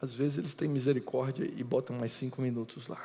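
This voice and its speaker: A middle-aged Brazilian man with a Brazilian Portuguese accent, preaching an emphatic lecture-style sermon that swings between loud and hushed. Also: medium pitch, steady tone, quick pace, gravelly, a little hiss or hum. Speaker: pastor. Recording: reverberant hall.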